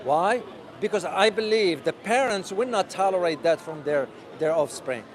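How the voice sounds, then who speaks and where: A man vlogging as he walks a city street outdoors, talking loudly and passionately while answering viewers' questions. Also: high voice, expressive, normal speed. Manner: loud and passionate. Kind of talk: casual walking vlog answering live questions. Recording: crowded outdoor street noise. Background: street crowd.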